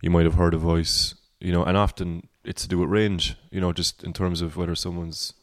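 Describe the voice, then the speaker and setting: A singer-songwriter from Cork with a Cork Irish accent and a baritone voice, speaking as a guest in a relaxed podcast conversation and thinking aloud as he talks. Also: fairly quick, dry recording, studio quality. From Cork, Ireland. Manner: reflective, rambling.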